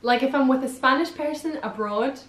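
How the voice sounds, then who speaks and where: A woman's voice: high-pitched, fairly quick, fairly bright tone. A young woman who grew up in Scotland chatting casually, thinking aloud and trailing off as she repeats words. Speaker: guest, host's sister. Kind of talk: casual chat. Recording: echoey room.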